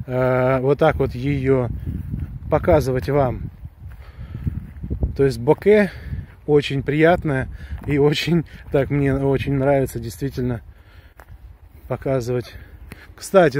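A man's voice: medium pitch, a little slow, pauses often, darkish tone, slight background noise. A man chatting casually and enthusiastically to viewers in a rambling, slangy way, recorded outdoors on a handheld phone. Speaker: vlogger reviewing a walkie-talkie. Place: outdoors on a grassy hillside with pines.

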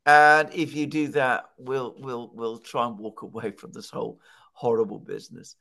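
A man in his sixties speaks as a political commentator in a conversational way. He explains things emphatically and sets up his points with rhetorical questions, at a middle pitch. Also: level tone, moderate pace, vocal fry, fairly bright tone. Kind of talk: conversational news analysis. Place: home study with bookshelves. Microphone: headset on video call.

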